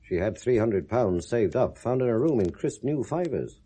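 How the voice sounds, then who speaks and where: An actor in a 1970s British radio drama playing a sceptical police inspector, blunt, wry and insistent, with a British accent. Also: male voice, medium pitch, big pitch swings, moderate pace, mellow, breathy edge. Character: sceptical, probing male police detective inspector.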